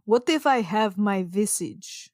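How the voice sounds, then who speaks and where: A woman's voice: mid-range voice, expressive, takes it slowly, darkish tone, dry recording, clean studio sound. A woman improvising in character at a tabletop roleplaying game, casual, plaintive and mock-dramatic.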